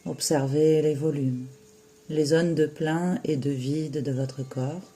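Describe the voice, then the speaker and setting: A yoga nidra teacher guiding a relaxation in a soothing, unhurried way, with long pauses between short phrases. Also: mid-range voice, steady tone, mellow. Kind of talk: slow guided relaxation meditation.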